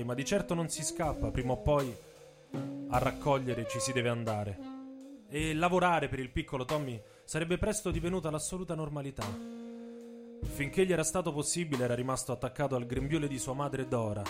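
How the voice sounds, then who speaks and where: Man narrating radio theatre over music. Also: mid-range voice. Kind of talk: scripted dramatic narration, radio theatre storytelling. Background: saxophone and drums music.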